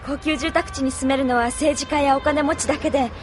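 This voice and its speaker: Woman acting polite young anime woman, high voice, earnest, music behind. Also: fairly even intonation, brisk, lightly acted. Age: young adult. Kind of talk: anime audio drama acting.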